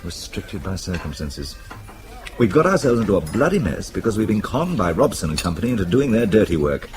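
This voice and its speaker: An actor playing a wry, evasive British husband in a radio drama, dry and sardonic with a British accent. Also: male voice, on the low side, sing-song, brisk, noisy background. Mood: wry, exasperated.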